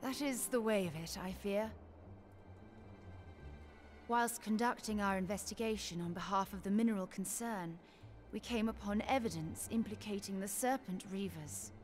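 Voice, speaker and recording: Shrewd, composed female fantasy scholar-agent played by an actress, medium pitch, formal archaic delivery, music behind. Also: lightly acted. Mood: grave, urgent. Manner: formal, measured, archaic diction. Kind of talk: dramatic video game voice acting.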